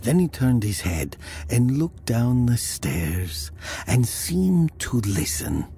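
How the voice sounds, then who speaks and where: A man playing a haunted narrator, telling a dark first-person audio story in a grave, deliberate, literary way. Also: mid-range voice, very animated, vocal fry, slight background noise, full character voice. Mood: grave, uneasy. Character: haunted male narrator recounting a dark encounter.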